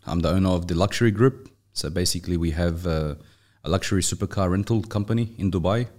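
A man's voice: sits low, quick pace, a little mellow. A man with an Australian accent talking casually and conversationally as a guest in a podcast interview. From Australia, raised in Sydney. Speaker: interview guest, business owner.

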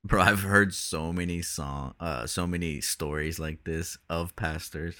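A man's voice: sits low, steady tone, measured pace, sharp tone, dry recording, studio quality. A young man from Brazil co-hosting a casual podcast, easygoing, asking questions for the audience and saying "dude". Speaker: podcast co-host. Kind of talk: casual chat.

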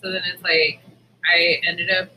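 A woman's voice: on the low side, level tone, a little slow, bright, forceful tone, slight background noise, rough recording. A woman co-hosting a podcast, chatting casually and confessionally in a candid, self-deprecating way with plenty of swearing. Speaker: podcast co-host.

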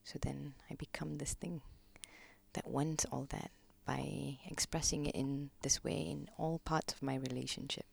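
A woman, a podcast guest reflecting on her life in a slow, hesitant way, pausing often. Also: deep voice, flat delivery, gravelly, breathy edge. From Malaysia. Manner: slow, hesitant, many pauses and ums, soft-spoken. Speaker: podcast guest, gong practitioner. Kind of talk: reflective personal interview talk.

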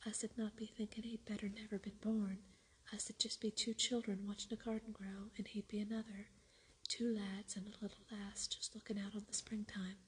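A woman whispering the lines of a kind, calm Yorkshire farm boy in a storybook reading, keeping a soft Yorkshire dialect. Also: mid-range voice, normal speed, husky, poor audio. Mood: gentle. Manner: whispered, gentle.

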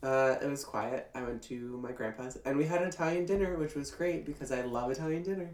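A woman's voice: very deep voice, brisk, darkish tone. A woman co-hosting a casual podcast, congested from a cold, tossing in dry joking asides. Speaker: podcast co-host. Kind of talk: casual chat. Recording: roomy echo, faint noise.

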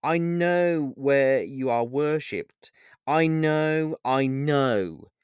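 A man playing a distraught, pleading man, raised pitch. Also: lively intonation, no room sound, studio quality. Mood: tearful, desperate. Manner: emotional, halting, repeating words. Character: distraught, tearful man confronting a god, pleading and accusing. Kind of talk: dramatic fantasy storybook dialogue.

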